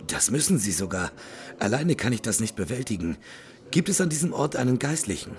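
A man playing a grave, courteous older gentleman haunted by tragedy, speaking formally and with measure in a German audio drama. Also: mid-range voice, very animated, a little fast, close to an everyday voice. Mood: resolute.

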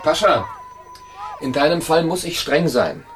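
A man's voice: on the high side, sing-song, measured pace. A man playing a strict but caring carer in a children's home in a radio drama, explaining things firmly and plainly. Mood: stern, reassuring.

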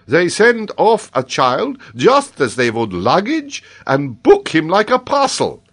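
A man voicing an excitable, talkative gentleman who exclaims emphatically in a storybook reading. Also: a little high, slow, voice pushed up, heavily acted. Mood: indignant amazement. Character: excitable, talkative, astonished gentleman, loudly opinionated.

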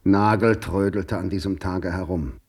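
An actor as the composed, wry storyteller of a radio play, narrating in a measured, dry, literary manner. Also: male voice, low-pitched, fairly even intonation, darkish tone, breathy edge, exaggerated voice. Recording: studio radio play with music underneath.

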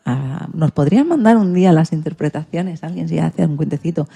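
A woman's voice: low-pitched, expressive, brisk, vocal fry, warm tone, breathy edge. A woman speaking as a presenter on a radio programme. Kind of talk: radio talk.

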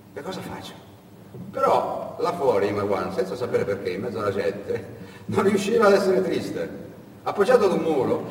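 A man giving a dramatic theatrical narration, deliberate and expressive, repeating phrases for effect. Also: mid-range voice. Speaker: stage actor, storyteller. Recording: echoey hall from far off, noisy.

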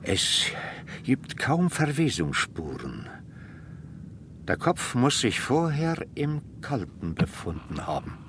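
An actor in a radio drama playing a measured, factual district doctor explaining what he observes. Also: mid-range voice, wildly swooping pitch. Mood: clinical, grave. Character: clinical, unhurried district doctor examining remains. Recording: clear outdoor ambient noise behind.

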